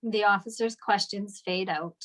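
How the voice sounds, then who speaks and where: A woman's voice: mid-range voice, fairly even intonation, normal speed. A woman giving scripted narration, reading out stage directions at a table read.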